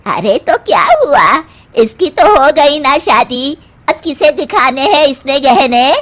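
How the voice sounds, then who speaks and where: A woman's voice: high-pitched, expressive, slow pace, a bit bright, full character voice. A woman voicing a demanding, scolding older mother-in-law in a cartoon moral story. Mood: demanding, bossy. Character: nagging, greedy mother-in-law, older woman, partial to her daughter.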